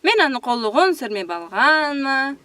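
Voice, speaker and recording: A woman's voice: high voice, sing-song, moderate pace, background noise. A woman voice actress rehearsing scripted cartoon lines, repeating them carefully and expressively. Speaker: voice actress in a dubbing session.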